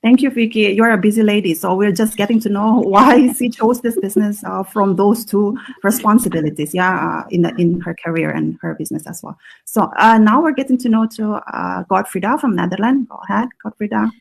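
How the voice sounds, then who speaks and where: Female host, gravelly voice, halting delivery with lots of fillers, video call. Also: mid-range voice, low-quality recording. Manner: halting, many fillers and restarts. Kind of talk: live online panel chat.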